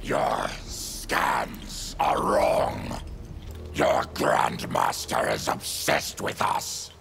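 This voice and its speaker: An actor voicing a snarling monstrous male mutant warrior with a gravelly, growling voice. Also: rumbling low, animated, extremely slow, fairly bright tone, slight background noise, exaggerated voice.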